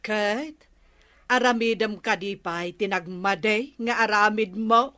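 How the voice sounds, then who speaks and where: A woman's voice: mid-range voice, slow pace, sharp tone. A woman playing a nagging, questioning wife in an Ilocano radio comedy, scolding and probing with an Ilocano accent. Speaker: comedy drama actress. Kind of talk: Philippine radio comedy drama acting.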